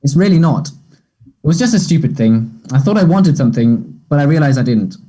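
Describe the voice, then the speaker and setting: An earnest, unsure young man, acted by a man in a play reading. His voice is soft-edged, mellow and slightly high, with some huskiness. He speaks haltingly and apologetically, as if feeling for each phrase. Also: lively intonation, husky, low-quality recording. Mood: apologetic, earnest. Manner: hesitant, halting. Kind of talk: play table read.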